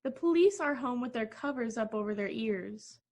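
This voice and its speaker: A high-pitched woman an actress in character as the worried friend in a 1940s-style radio play. She sounds uneasy and a little reproachful, gloomy and cautious. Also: lively intonation, moderate pace, darkish tone. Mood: reproachful worry.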